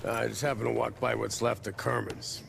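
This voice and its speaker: A man acting as a weary, blunt security captain in a video game, skeptical and plain-spoken, with a gravelly voice. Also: mid-range voice, fairly even intonation, normal speed, a bit bright, slight background noise. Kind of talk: dramatic game cutscene acting.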